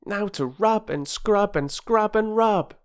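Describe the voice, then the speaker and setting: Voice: medium pitch, animated, unhurried, a little mellow, pitched above the performer's own voice. A narrator voicing an eager, chirpy young girl in a children's storybook. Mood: playful, bossy.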